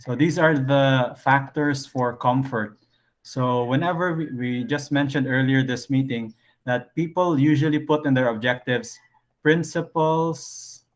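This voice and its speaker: A male teacher talks through an online design lesson. His pitch sits at an average level for a man, and he speaks casually, thinking aloud with many fillers. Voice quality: low voice. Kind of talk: online class lecture.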